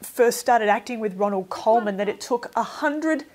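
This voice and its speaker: A woman's voice: fairly high pitch, normal speed, a little mellow. A woman giving an informal, opinionated film review in a chatty, emphatic way.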